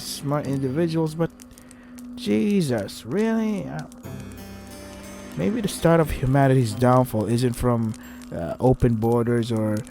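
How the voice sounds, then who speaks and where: A man's voice: mid-range voice, mellow. A man reading a visual novel aloud and commenting on it in an irritated, sarcastic way with heavy swearing. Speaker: let's play host.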